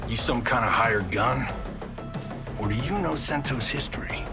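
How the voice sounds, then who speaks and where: An actor playing a brash, showboating martial-arts movie star in a video game cutscene, with a theatrical manner and big hunger for fame. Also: male voice, over music, natural voice. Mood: alarmed, then defiant. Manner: brash, theatrical, fast-pitching talker.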